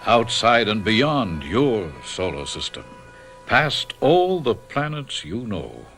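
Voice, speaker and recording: A calm, measured spirit guide. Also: male voice, fairly low pitch, faint music behind, exaggerated voice.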